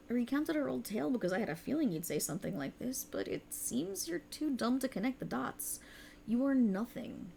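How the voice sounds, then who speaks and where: A woman performs a cruel, seductive otherworldly patron for an ASMR fantasy roleplay. The voice sits fairly high and crackles with vocal fry as it mocks and condescends in a theatrical, teasing way. There is faint noise behind it. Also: warm tone. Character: sultry, cruel, mocking otherworldly warlock patron, gloating over a dying servant.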